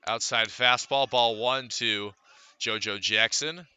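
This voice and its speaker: Bright, forceful American baseball announcer, man. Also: mid-range voice, fairly even intonation, slow pace, clear, resonant tone. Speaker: baseball radio play-by-play announcer. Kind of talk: live sports commentary.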